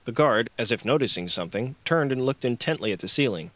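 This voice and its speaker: A man's voice: mid-range voice, animated, fast talker, a bit bright, no echo. Male audiobook narrator, dry close sound. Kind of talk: scripted fiction narration.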